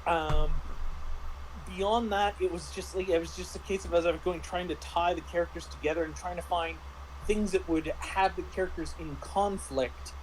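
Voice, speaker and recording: A man in a casual discussion as a game master, speaking haltingly and often restarting his phrases. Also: high voice, steady tone, a little hiss or hum, low-quality recording. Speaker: game master reviewing a tabletop RPG.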